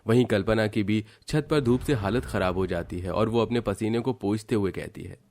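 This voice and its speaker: Low-pitched man narrating a story. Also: normal speed, a little mellow.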